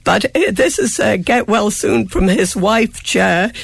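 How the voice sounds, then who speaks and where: A woman's voice: mid-range voice, lively intonation, measured pace, gravelly, breathy edge. An Irish woman with a chesty cold, giving blunt, sarcastic relationship advice on a radio show. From Ireland. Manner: sarcastic, tart and frank. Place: radio studio.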